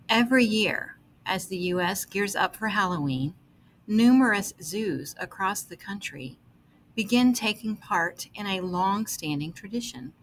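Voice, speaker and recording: A woman reading an article aloud as scripted narration, with measured, deliberate phrasing. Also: medium pitch, a bit bright, slight background noise, rough recording.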